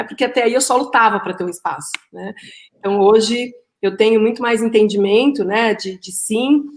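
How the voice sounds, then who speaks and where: A middle-aged woman with a middle-pitched voice. She speaks in an earnest, thoughtful way and often tags her sentences with small checks to the listener. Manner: earnest, reflective, frequent "né". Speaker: executive, panelist. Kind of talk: personal testimony at a live online event.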